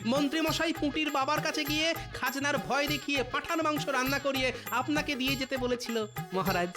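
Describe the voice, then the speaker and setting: High voiced cartoon jester, witty and sly, theatrical pleading, music behind. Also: male voice, fairly quick. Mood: clever, explaining. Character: clever witty court jester, humble but sly man. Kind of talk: Bengali comedy cartoon dubbing.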